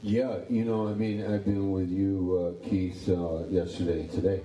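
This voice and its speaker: A man's voice: deep voice, level tone, normal speed, mellow, roomy sound, far from the mic, low-quality recording. A pastor in his sixties chatting casually about his ministry, rambling and repeating words. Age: 60s. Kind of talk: casual interview chat.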